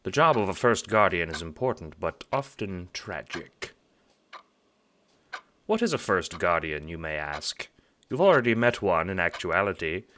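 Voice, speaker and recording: A man's voice: deep voice, lively intonation, measured pace, bright, forceful tone, slight background noise, full character voice. A man playing a genteel, smug, theatrical guardian villain in an audio drama, speaking in a pompous, wordy and affectedly courteous way. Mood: wistful, forlorn. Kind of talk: audio drama monologue addressed to the listener.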